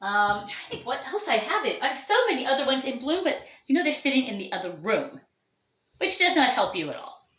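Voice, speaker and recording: A chatty, enthusiastic woman talks through her orchids with a lively, swooping voice, often correcting herself as she goes. Her voice is fraying from overuse. She's recorded indoors in an echoey room at home. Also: sits high, brisk. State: losing her voice. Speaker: orchid grower showing her plants. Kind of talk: casual show-and-tell vlog.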